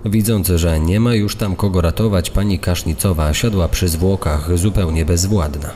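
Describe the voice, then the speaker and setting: A man's voice: low-pitched, normal speed, dark tone. A man giving explanatory, storytelling commentary in a reverberant recording. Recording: long reverberant hall sound. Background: faint music.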